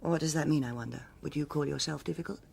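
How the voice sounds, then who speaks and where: An actress playing a cool, exacting police superintendent in a radio drama, with a formal, cutting, authoritative manner. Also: female voice, deep voice, fast talker, gravelly, darkish tone, slightly rough, full character voice. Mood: probing, disapproving.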